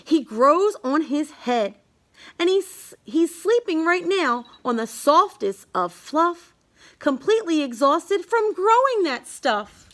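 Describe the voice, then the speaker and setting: A woman reading a rhyming children's bedtime story aloud, halting now and then with small stumbles over words. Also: high-pitched, very animated. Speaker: storybook reader.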